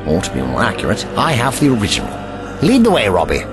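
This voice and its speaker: An actor playing an imperious, tetchy older time-traveling gentleman in an audio drama, fussy and condescending. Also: on the low side, huge pitch swings, fast talker, over music.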